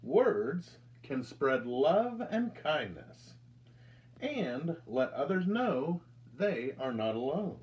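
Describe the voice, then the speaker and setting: An American man in his thirties reads a picture book aloud to children with deliberate, expressive storytelling delivery. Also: fairly high pitch, muffled recording. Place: indoors, small room with curtain backdrop.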